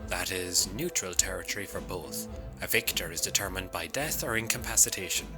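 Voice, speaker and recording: A man's voice: sits low, animated, a little fast. Cheeky contrary imp, man acting, voice jumps all over, playful and dramatic, radio play with music behind. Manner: playful, theatrical, argumentative. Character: mischievous, contrary male imp who loves idle provocation. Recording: music and insect chirps behind voice.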